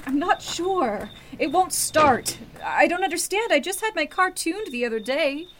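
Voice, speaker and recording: An actress plays a frightened woman in a vintage-style radio thriller. Her voice is very high, with an anxious, halting delivery, and there is clear noise behind her. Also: lively intonation, fairly bright tone. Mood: puzzled, worried. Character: frightened, flustered woman in a murder mystery. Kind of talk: old-time radio mystery acting.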